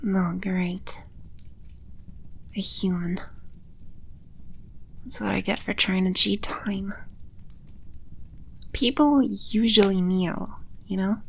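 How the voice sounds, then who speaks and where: A woman playing a haughty, scornful female demon in a scripted audio roleplay, condescending and sardonic, with breathy edges to her voice. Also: mid-range voice, a bit bright, heavily acted. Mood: indignant, scornful.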